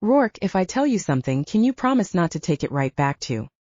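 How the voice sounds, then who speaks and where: A woman's voice: on the low side, sing-song, brisk, darkish tone, dry recording, highs cut off. A woman voicing a spirited young female audiobook heroine, frank, teasing and persuasive. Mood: challenging. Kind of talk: audiobook character dialogue.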